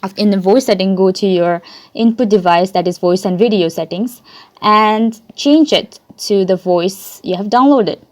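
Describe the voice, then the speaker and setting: A woman presenting a casual step-by-step software tutorial, with halting, repetitive phrasing and self-corrections. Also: mid-range voice.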